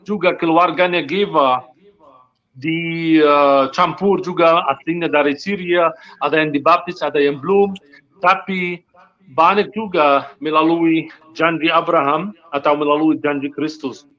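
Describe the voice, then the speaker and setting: A man with a slightly high voice talks through matters of faith in a relaxed discussion. He speaks earnestly, often pausing to search for words. The audio is dull and muffled. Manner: earnest, searching for words, frequent restarts and English fillers. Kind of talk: casual religious discussion.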